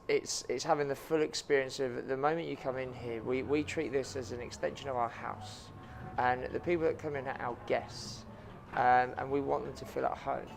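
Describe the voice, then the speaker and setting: A man's voice: medium pitch, gravelly, slight background noise. A man, a chef and restaurant owner answering interview questions earnestly, with frequent ums and repeated words.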